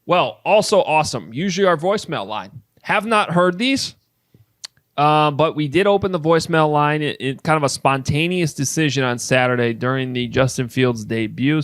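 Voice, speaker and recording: A man's voice: medium pitch, dry recording. Male podcast host, dry close sound. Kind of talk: casual sports chat.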